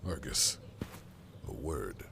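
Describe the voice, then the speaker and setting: A man's voice: a bass voice, lively intonation, takes it slowly, gravelly, noisy background, full character voice. A man playing an anxious, cautious god in an audio drama, earnest and protective of his brother. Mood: worried, cautious.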